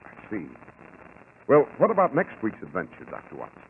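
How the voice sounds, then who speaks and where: Animated vintage radio announcer, narrow-band sound. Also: male voice, lowish voice, normal speed, vocal fry, slight background noise.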